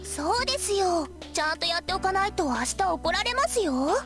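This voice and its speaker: Very high, perky schoolgirl. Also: expressive, fast talker. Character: perky, girlish teenage schoolgirl club junior. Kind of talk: Japanese visual novel voice acting. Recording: background music under voice.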